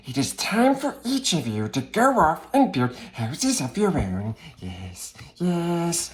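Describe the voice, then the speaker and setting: A man voicing a wretched, whiny, gleeful cave creature who reads a children's storybook theatrically, with raspy, strained speech and muttered asides to himself. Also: a little high, sing-song, takes it slowly. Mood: low, muttering. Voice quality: raspy, strained, swooping between growl and squeal. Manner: muttering asides to self, exclaiming, distorted pet words. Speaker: reader, host of the series.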